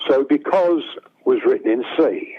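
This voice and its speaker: An older man with a bass-baritone voice, telling rambling stories as a songwriter guest. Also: animated, a little slow, breathy edge. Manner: rambling anecdotes, acts out quoted dialogue. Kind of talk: casual storytelling. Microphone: telephone. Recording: tinny phone line.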